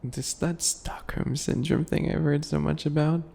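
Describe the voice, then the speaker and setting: A man playing a small, smug, obsessive yandere in an ASMR roleplay, slow and mock-sweet, with a breathy, gravelly voice that drops into whispers. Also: on the high side, fairly even intonation, dark tone, exaggerated voice. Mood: smug teasing.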